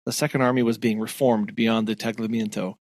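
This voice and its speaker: A man's voice: lowish voice, level tone, a little fast, darkish tone, no room sound. A man co-hosting a podcast, summarizing passages and chiming in with short agreements. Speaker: podcast co-host. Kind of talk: casual book discussion.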